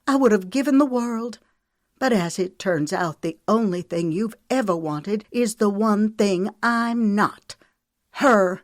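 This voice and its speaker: Woman reading an embittered young Southern wife, Southern US accent, audiobook delivery, voiced higher and sharper than the narration. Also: unhurried, no echo, studio quality, close to an everyday voice. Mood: bitter. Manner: impassioned, sharp and raised.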